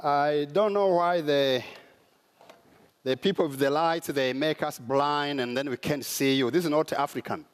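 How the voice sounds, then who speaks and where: A man with a Rwandan accent speaks from a conference stage in a preaching style. His voice sits a little high, and he often stops to search for the next word with an "uh.". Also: smooth. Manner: halting, with many "uh" pauses. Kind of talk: sermon-style conference talk. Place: large conference hall.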